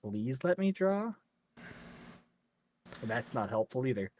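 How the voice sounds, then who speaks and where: A man's voice: mid-range voice, big pitch swings, slow pace, darkish tone, a little hiss or hum. A man speaking casually as game master of a tabletop role-playing session.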